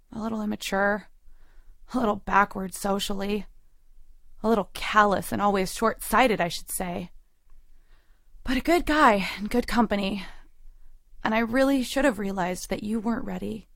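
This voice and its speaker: Woman acting hurt girlfriend, resolute, intimate, creaky voice, dry studio sound, audio drama. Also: medium pitch, no echo, lightly acted. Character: hurt, resolute girlfriend leaving her long-term boyfriend. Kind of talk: audio drama acting with first-person narration.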